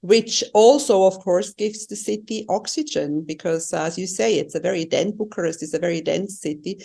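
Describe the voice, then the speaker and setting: Low, creaky-voiced female co-host. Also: normal speed, warm tone. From Switzerland. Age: middle-aged. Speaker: podcast co-host. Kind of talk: casual conversational travel talk.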